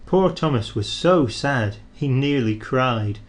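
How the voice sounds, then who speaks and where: Man reading a kids story out loud, lively up and down voice, takes his time. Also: medium pitch. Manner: expressive, measured. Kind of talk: storybook read aloud.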